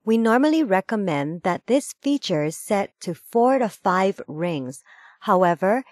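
A woman reading a scripted instructional voice-over in a measured, formal, step-by-step manner. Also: mid-range voice, sing-song, no echo, studio quality. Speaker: tutorial narrator.

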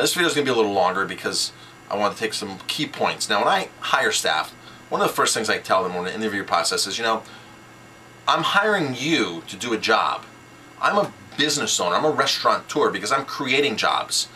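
A man's voice: mid-range voice, sharp tone, slight background noise, low-quality recording. A middle-aged man giving informal, instructional business advice as a restaurant owner, making his points emphatically in short, repeated sentences. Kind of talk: informal instructional talk to camera.

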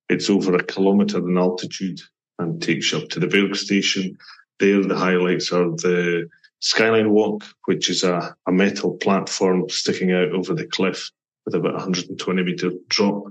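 A middle-aged man from Scotland with a Scottish accent, a guest on a travel podcast, calmly explaining visitor details and listing them one by one. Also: low voice, low-quality recording. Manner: explanatory, lists details steadily. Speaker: guest from a mountain attraction. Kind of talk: podcast interview.

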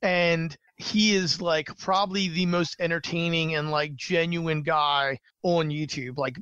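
A man's voice: a little high, steady tone, measured pace, sharp tone, clean tone, no echo, dull audio. A man, a small business owner, chatting as a podcast guest, rambling with lots of fillers and restarts. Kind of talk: casual interview chat.

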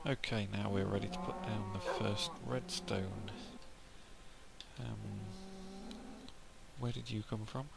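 A man with a hoarse throat, quietly muttering casual gameplay commentary to himself as he builds. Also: fairly low pitch, fairly even intonation, slow pace, pauses often. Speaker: gameplay video host. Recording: home mic with loud background noise. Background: cows mooing in the game.

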